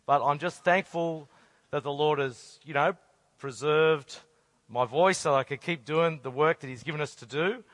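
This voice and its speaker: A hoarse, croaky man preaches to a crowd, firing off earnest rhetorical questions. His voice sits a little higher than most men's and sounds close and dry, with no room echo. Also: normal speed, a bit bright. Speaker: conference speaker. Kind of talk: sermon.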